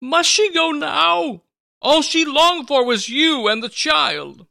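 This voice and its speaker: A man voicing a devout, lame old man in a dramatic reading, strained and wheezy, pleading with deep feeling. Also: animated, slow, creaky, bright, forceful tone, dry recording, clean studio sound, full character voice. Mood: desperate pleading. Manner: impassioned, pleading.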